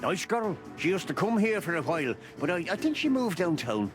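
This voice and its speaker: A man's voice: sits high, big pitch swings, fairly quick. An actor playing a jovial, stubborn old beaver in a video game, with an Irish accent and a teasing, folksy, fatherly manner. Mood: reflective. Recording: music under the voice.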